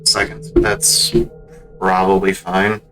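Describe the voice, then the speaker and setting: A man's voice: sits low, completely flat delivery, takes it slowly, bright, forceful tone, faint music behind. A man streaming a speedrun with casual, rambling, self-critical commentary.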